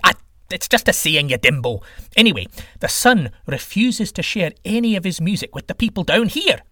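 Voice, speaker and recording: A man's voice: high-pitched, big pitch swings, normal speed, sharp tone, no echo, studio quality, pitched above the performer's own voice, exaggerated voice. A man voicing a bossy, impatient sky god who scolds and hurls insults in a comic story. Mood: scornful. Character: blustering, bossy, insult-hurling male Aztec sky god.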